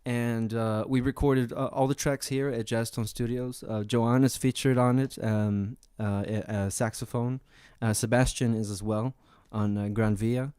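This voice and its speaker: A young man from Sweden, a jazz pianist, answering interview questions in relaxed, chatty storytelling full of fillers. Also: medium pitch, measured pace, few pauses, clean tone, dry recording, studio quality.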